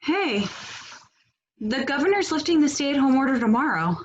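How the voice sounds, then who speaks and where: A woman plays a bossy, organized female activist in a video-call comedy. Her high voice swings widely in pitch. She is exasperated, pointed and sarcastic. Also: very animated, normal speed, lo-fi sound. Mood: resigned, casual. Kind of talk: comedic stage acting over video call. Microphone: video call microphone.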